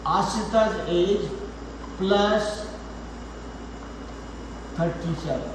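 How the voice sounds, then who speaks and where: A man in his forties teaches math to a live class, talking patiently and checking in with students. His voice sits high for a man. The room rings with a long, echoing reverb. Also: drawn-out words. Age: 40s. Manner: patient, repeats points, asks students questions. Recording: echoey room, faint background noise.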